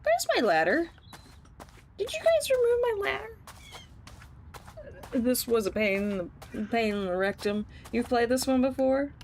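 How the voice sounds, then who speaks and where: A woman streaming a game, chatting casually with her viewers and laughing often, her voice rough and tired from just waking up. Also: high voice, big pitch swings, measured pace, pauses often, a little mellow, slight background noise. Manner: chatty, giggly, talks to chat while playing. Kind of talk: casual gaming livestream chat.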